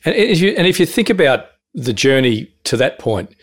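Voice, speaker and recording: A man hosting a podcast interview, asking long, measured, wordy questions. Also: fairly high pitch, big pitch swings.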